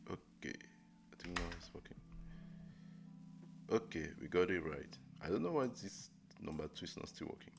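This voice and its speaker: A young man live-streaming a coding lesson, thinking aloud in a halting way with many fillers and restarts. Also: low voice, big pitch swings, gravelly. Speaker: coding livestreamer. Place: indoor room at a desk. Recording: music playing under the voice. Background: music playing and keyboard typing.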